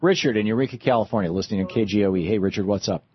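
A man's voice: fairly low pitch, rapid-fire. A man hosting a call-in radio talk show, agreeing with callers and thinking aloud with restarts. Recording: muffled radio broadcast.

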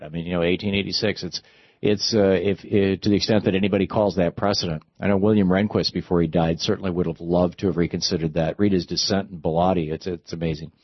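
A man's voice: deep voice, no echo, lo-fi sound. A man hosting a call-in radio show, talking through legal and political points with many restarts. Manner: discursive, argumentative, stops and restarts mid-sentence. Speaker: radio talk show host.

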